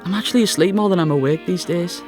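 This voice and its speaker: An actor playing a sickly, self-pitying teenage schoolboy with a North East English accent in an audio drama monologue, glum and sardonic. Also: male voice, sits high, fast talker, soft background music, natural voice. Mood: miserable, self-pitying. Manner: glum, complaining, melodramatic.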